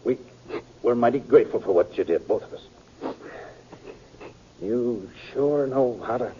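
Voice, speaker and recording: An actor playing a kindly, grateful sheriff who stumbles and repeats words in a 1950s radio western. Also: male voice, medium pitch, unhurried, warm tone, close to an everyday voice. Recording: dull, noisy old radio recording.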